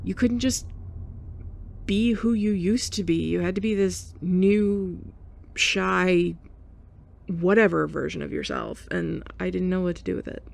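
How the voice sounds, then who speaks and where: A woman's voice: lowish voice, measured pace, creaky, a little mellow. A young woman playing a blunt, sarcastic former bully in an audio roleplay, sighing and scoffing as she talks. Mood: confessional. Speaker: ASMR roleplay performer. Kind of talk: F4M ASMR roleplay.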